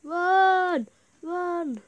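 A gaming YouTuber with a high voice, affected by a cold, giving casual, rambling, excitable gameplay commentary. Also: drawn-out words, highs cut off. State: has a bad cold.

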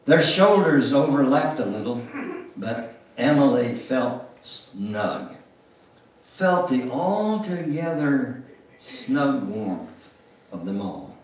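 Older male reader, dramatic literary recitation, slow and deliberate, distant mic in a church. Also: medium pitch, very animated. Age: older, 70s or above. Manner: theatrical, deliberate, with drawn-out words and emphatic pauses. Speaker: guest speaker reading at a church. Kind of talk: dramatic reading of a memoir. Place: church sanctuary, indoors at the front. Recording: roomy, echoing church sound from afar.

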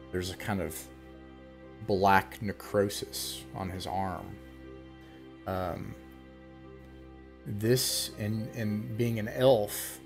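A man's voice: lowish voice, gravelly. A man with a scratchy voice narrating as game master in an online tabletop game, casual and hesitant. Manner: casual, hesitant, many fillers. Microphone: video call webcam.

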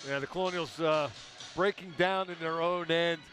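A man's voice: on the high side, fairly even intonation, normal speed, fairly bright tone, soft background music. A man giving color commentary at a live hockey game, breaking down plays in a loose, analytical way with frequent fillers.

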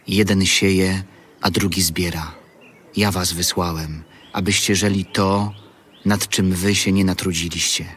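An actor playing a calm, solemn prophet and teacher in an audio Bible drama, speaking in a measured way. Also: male voice, low voice, a little hiss or hum. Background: music.

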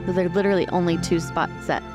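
A woman's voice: fairly low pitch, little pitch movement, rapid-fire, over loud music. A woman playing a tabletop roleplay game, casually narrating her character's choices and thinking aloud.

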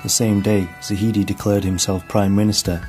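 A man narrating a scripted documentary in a measured, formal manner. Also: fairly low pitch, little pitch movement. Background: background music.

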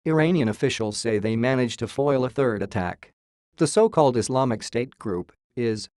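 A man's synthetic voice reading a scripted news report in a flat, read-out manner. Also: medium pitch, dry recording, clean studio sound. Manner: flat synthetic read-out, spells out abbreviations and symbols. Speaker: news reader.

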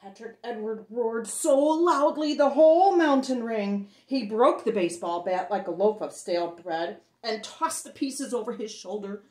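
Middle-aged woman, children's storyteller, animated swooping pitch, theatrical emphasis, dramatic pauses, echoey room. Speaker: children's storyteller reading a picture book aloud. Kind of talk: dramatic read-aloud for young children. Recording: roomy echo on the voice.

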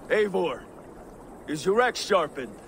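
A seasoned Viking chief with a gravelly, slightly raised voice. He speaks in a measured, resolute way over faint game ambience. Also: high-pitched, big pitch swings, a bit bright, a little hiss or hum, full character voice. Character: steady veteran Viking leader, man.

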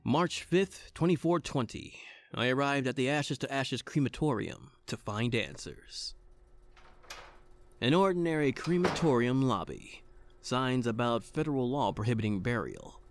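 An actor playing a brooding, earnest and wry private detective, narrating a noir audio drama. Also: male voice, medium pitch, big pitch swings, measured pace.

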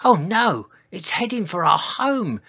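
A reader voicing a gentle, timid young giant dinosaur in a small, earnest voice for a children's storybook. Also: medium pitch, animated, normal speed, husky, voice pushed up, full character voice. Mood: worried.

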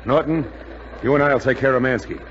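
A man acting as a stranded military skipper in a 1940s radio play. He is terse and commanding, with a mid-pitched voice. The old recording is dull and clearly noisy. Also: fairly quick, lightly acted. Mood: tense, resolute. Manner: terse, barking orders. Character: commanding, tense male bomber skipper stranded in the jungle.

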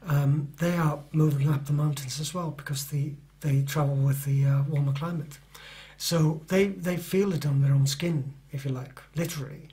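A man's voice: on the high side, fairly even intonation, moderate pace, hardly any pauses, warm tone. Middle-aged author guy, english not his first language, lots of ums and restarts, unsure delivery. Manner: hesitant, many fillers and restarts. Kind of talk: interview answers.

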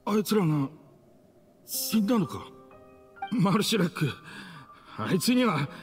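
An actor playing a brash, excitable young gangster in an anime game, with rough, informal speech. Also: male voice, sits high, measured pace, in a large hall, faint music behind. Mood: shocked, grieving.

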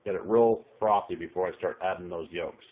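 A man's voice: low-pitched, fairly quick. A middle-aged man casually explaining a recipe in a small kitchen, chatting to viewers while filming one-handed. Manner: folksy, chatty instructions, talks to the viewer. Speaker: home cook filming a recipe. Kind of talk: casual cooking tutorial. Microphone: handheld camera filming overhead. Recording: tinny phone-like audio.

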